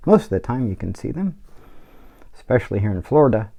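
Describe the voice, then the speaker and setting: A man's voice: medium pitch, sing-song, a little fast, gravelly, dark tone. A man giving a casual, easygoing drawing demonstration for children as a guest instructor.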